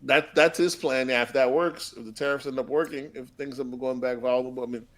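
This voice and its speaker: A man's voice: medium pitch, fairly even intonation, fast talker, a bit bright. A man co-hosting a casual podcast, rambling and restarting his sentences and often asking "you know what I'm saying". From Haitian heritage. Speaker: podcast co-host.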